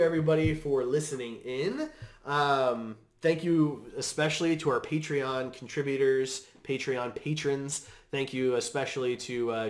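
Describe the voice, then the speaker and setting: Male podcast host, medium pitch, casual conversational read, audible room echo. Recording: roomy, echoing room sound.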